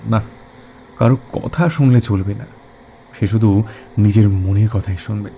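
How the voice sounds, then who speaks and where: A man's voice: fairly low pitch, unhurried, dark tone, a little hiss or hum. A man narrating a scripted suspense story in a dramatic storytelling style.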